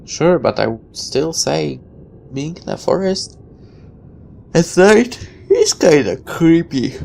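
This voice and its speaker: Creaky-voiced storyteller reading a game aloud. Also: medium pitch, very animated, slow, a little mellow, a little hiss or hum. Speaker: gaming video host reading a visual novel aloud. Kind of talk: story read-aloud with casual asides.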